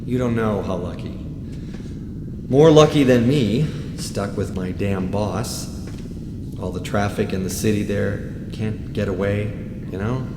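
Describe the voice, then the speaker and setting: A man's voice: lowish voice, a little slow, lightly acted. An actor playing a nosy, down-on-his-luck motorcyclist in an audio drama, muttering his thoughts in a folksy way. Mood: weary, wry. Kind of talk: audio drama acting with spoken inner monologue. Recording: reverberant hollow space with clear background noise.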